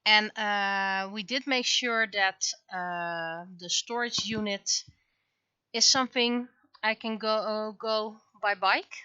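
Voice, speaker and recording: Woman streamer thinking out loud, kinda halting, bright voice, slightly dull dry audio. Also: mid-range voice, fairly even intonation, clean tone, no room sound. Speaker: livestreaming webcomic artist. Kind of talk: casual livestream chat.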